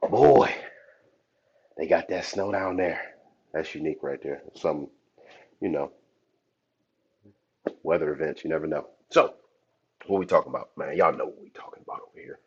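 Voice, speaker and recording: Hoarse, very deep-voiced podcast host. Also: male voice, moderate pace, long pauses. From Cleveland, Ohio. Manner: chatty, addresses listeners as y'all. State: hoarse from a cold. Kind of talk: casual podcast talk. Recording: dull lo-fi audio.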